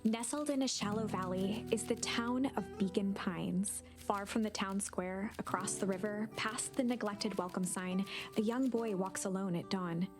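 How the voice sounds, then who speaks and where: Woman acting as mysterious storybook narrator, kindly, measured, theatrical, video game narration, plucked guitar music behind. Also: medium pitch, close to an everyday voice. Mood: gentle storytelling.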